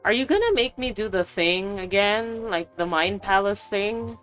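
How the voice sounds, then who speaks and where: A woman's voice: medium pitch, expressive, unhurried, a bit bright, soft background music. A woman giving casual, chatty, slangy commentary as she plays a video game and reacts aloud. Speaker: game streamer.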